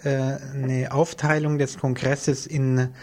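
A man's voice: medium pitch, level tone, slow pace, darkish tone. A man giving unscripted, orderly explanations as an interview guest in a radio studio.

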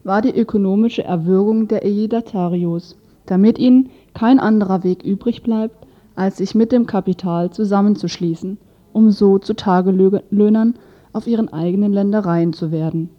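Female news reporter, medium pitch, scripted read, lo-fi muffled sound. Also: normal speed.

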